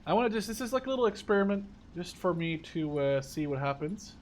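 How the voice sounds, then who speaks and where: A man's voice: high-pitched, expressive, fast talker, a little hiss or hum. A man in his twenties from the Netherlands giving casual, unscripted game commentary, rambling and thinking aloud. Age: 20s. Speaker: gaming YouTuber.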